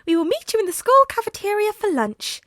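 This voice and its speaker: A woman's voice: very high voice, big pitch swings, a little fast, a bit bright, no room sound, studio quality, voice pushed up, full character voice. A woman voicing a polite, formal little male school principal in a story reading. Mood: polite, welcoming. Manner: formal, courteous.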